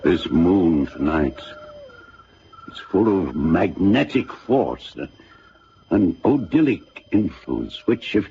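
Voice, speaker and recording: Radio drama: courtly, kindly older father, deep register, hesitant and musing, dull sound with faint noise. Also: big pitch swings, slow, gravelly, heavily acted. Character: kindly, courtly, self-deprecating aging father. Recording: muffled vintage radio recording, faint noise.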